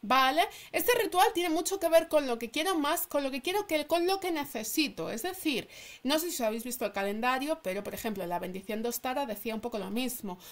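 A woman's voice: high-pitched, lively intonation, brisk. A woman with a Spanish accent from Spain, talking informally and emphatically to her followers as a channel host, often asking and answering her own questions. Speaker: tarot reader and channel host. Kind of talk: casual chatty announcement to followers. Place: indoors at a table.

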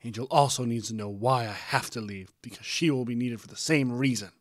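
A man voicing an angry, defiant adult mare, a pony mother who argues in shouts. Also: mid-range voice, a little fast, no echo, studio quality, lightly acted. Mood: defiant, shouting. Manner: shouting, argumentative.

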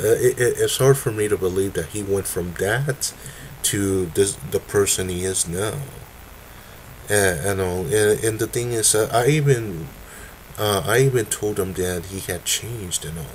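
A young man making a casual webcam announcement from a dim room, talking haltingly with many fillers and restarts. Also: sits low, slight background noise, poor audio. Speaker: video creator.

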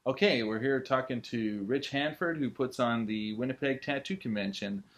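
Male interviewer, thirties to forties, medium pitch, conversational questioning in a small indoor room. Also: moderate pace.

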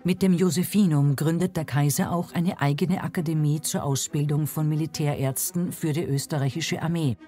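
Documentary narrator, medium-pitched, scripted delivery over a prominent music bed. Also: steady tone, normal speed, hardly any pauses, dark tone.

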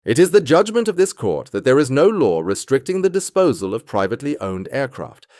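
A measured court judge, as a man voices him, lively in pitch as he rules. His voice is close and dry. He sounds formal, sympathetic and unbending. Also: no room sound, close to an everyday voice. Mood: grave. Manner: formal, calm, sympathetic but firm. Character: measured male German judge.

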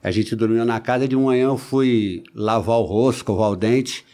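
A man in his forties with a Brazilian Portuguese accent, talking casually as a podcast guest into a close studio mic, haltingly, repeating words and adding little tags. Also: medium pitch, fairly even intonation, dark tone. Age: 40s. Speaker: podcast guest, body-modification enthusiast. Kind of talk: casual conversational storytelling. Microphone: close studio microphone on boom arm.

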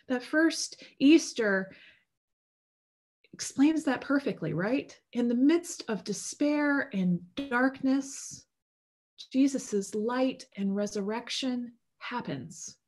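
A woman's voice: on the high side, slow, a little mellow. A woman in her thirties, a priest, preaching a sermon from home over a video call in a casual, earnest way, with lots of fillers and restarts. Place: home room with bookshelves. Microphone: video call webcam.

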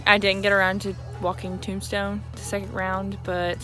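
A woman's voice: mid-range voice, little pitch movement, moderate pace, fairly bright tone, soft background music. Chatty young woman vlogging outdoors. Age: young adult. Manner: chatty, informal, rambling. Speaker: equestrian vlogger. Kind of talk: casual vlog talk to viewers. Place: outdoors on a horse farm.

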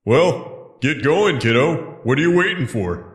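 A man's voice: fairly high pitch, lively intonation, measured pace. A man voicing a laid-back, wry skeleton in a dramatic comic dub. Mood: taunting. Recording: echoey, long reverb.

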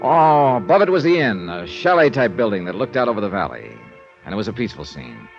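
Hard-boiled American detective, low voice, lively inflection, dry and sardonic, old radio drama. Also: male voice, fast talker. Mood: descriptive. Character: wry, brisk American male insurance investigator. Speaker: lead actor and first-person narrator. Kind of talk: 1950s radio crime drama acting. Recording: muffled old radio recording with music.

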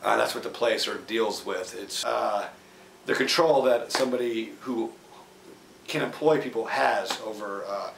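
A man with a medium-pitched, fry-laden voice talks informally as a theatre director about a play. He sounds a little distant from the mic in an echoey room with faint noise. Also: normal speed, a bit bright.

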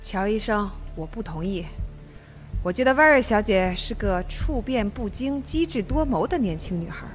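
A man's voice: sits high, animated, moderate pace, faint music behind. An actor playing a stern, formal old male judge in a radio drama. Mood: measured, reasoning. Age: older.

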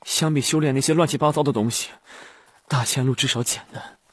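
A man's voice: medium pitch, steady tone, a little fast, husky, voice pushed up, lightly acted. A man voicing a weary, stubborn young Taoist, earnest and grim, in an audiobook. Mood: bitter.

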